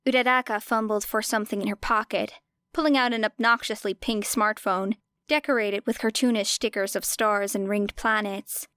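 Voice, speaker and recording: A woman's voice: mid-range voice, fairly even intonation, moderate pace, fairly bright tone, smooth, no echo. A woman narrating a scripted fiction audiobook.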